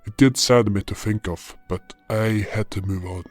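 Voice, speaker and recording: A man's voice: fairly low pitch, steady tone, normal speed, no echo, natural voice. A man playing a solemn, powerful guardian hero who narrates his journey in a fantasy audio drama. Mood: brooding. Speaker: narrator and lead actor. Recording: music behind the voice. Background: music.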